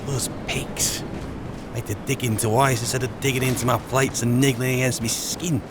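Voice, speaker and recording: A man's voice: mid-range voice, fairly even intonation, normal speed, background noise, natural voice. A man playing a wry adventurer, musing aloud to himself and his companions as he roleplays a fantasy video game. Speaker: player roleplaying a game character on a let's play channel. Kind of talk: in-character improvised game narration.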